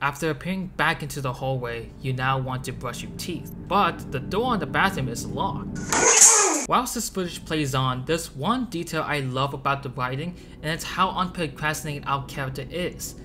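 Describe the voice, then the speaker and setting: A narrator reading a scripted video essay about a game in a measured, explanatory way. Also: mid-range voice. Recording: loud background music. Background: loud music.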